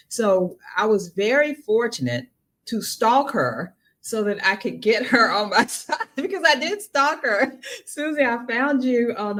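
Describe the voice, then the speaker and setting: Chatty Southern American woman host. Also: mid-range voice. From Southern United States. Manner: chatty and animated, folksy with y'all, laughs easily. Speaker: podcast host. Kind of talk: casual interview chat.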